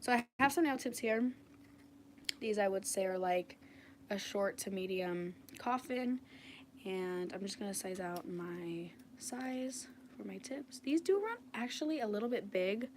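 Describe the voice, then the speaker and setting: A woman with a raspy, slightly under-the-weather voice, chatting casually and informally as she demonstrates nail work on a livestream. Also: medium pitch, measured pace, a little mellow. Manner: informal, frequent "like". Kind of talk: casual live chat while demonstrating. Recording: clearly noisy home livestream recording. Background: washing machine noise.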